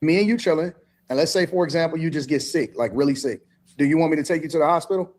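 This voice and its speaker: Quick-talking man posing hypotheticals. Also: a little high, a little mellow. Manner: probing, hypothetical questioning. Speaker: co-host in a played podcast clip. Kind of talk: casual debate.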